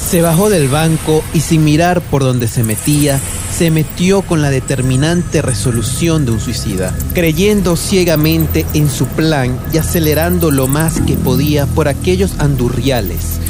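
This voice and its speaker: A man narrating a scripted radio novela in a theatrical, literary storytelling manner. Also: fairly high pitch. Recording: music under the voice. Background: background music.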